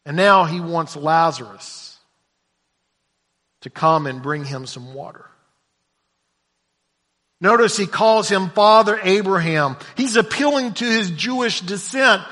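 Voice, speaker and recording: A man preaching a sermon, dropping to hushed low lines and rising to loud shouted emphasis, often repeating words as he goes. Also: expressive, takes it slowly, long pauses, in a large hall. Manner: repeats words when stumbling.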